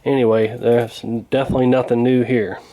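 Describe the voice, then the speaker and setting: Guy doing a repair video, folksy and unscripted, kinda halting, regular mid voice. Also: medium pitch, level tone, mellow, rough recording. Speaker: radio repair hobbyist, presenter. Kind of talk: casual hands-on repair commentary.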